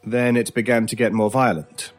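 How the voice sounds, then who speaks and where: An actor playing a calm male investigator in a radio horror drama, questioning in a measured, deliberate way. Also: lowish voice, faint music behind, close to an everyday voice. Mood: quietly insistent. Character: calm, probing male investigator of strange events. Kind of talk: old-time radio horror drama acting.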